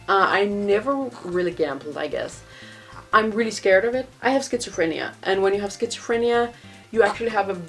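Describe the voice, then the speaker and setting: A young woman from Denmark with a Danish accent, chatting casually in a vlog and rambling with many fillers. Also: mid-range voice. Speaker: vlogger.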